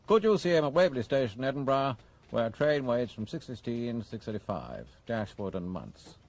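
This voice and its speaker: An actor playing a measured, questioning senior policeman in a radio drama. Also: mid-range voice, big pitch swings, a little mellow. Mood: appraising. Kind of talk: BBC radio drama acting.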